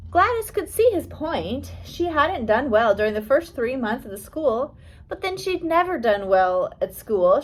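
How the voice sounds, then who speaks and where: A woman reading a scripted biography aloud as an audiobook, with careful storytelling delivery. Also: on the high side. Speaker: audiobook reader.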